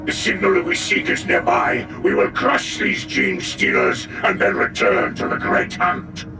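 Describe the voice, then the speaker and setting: A man playing a fierce, proud armoured wolf-brotherhood warrior in a video game, speaking in gravelly, solemn battle declamation. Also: high-pitched, wildly swooping pitch, measured pace, exaggerated voice. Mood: battle fury. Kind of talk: dramatic video game acting. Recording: echoey, distant, under very loud music.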